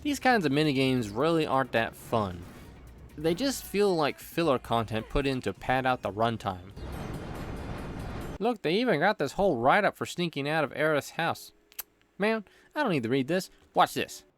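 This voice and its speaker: A man's voice: a little high, very animated, normal speed. Playful male game reviewer over music. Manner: playful, jokey. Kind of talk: scripted review narration with joking asides. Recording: background music under voice.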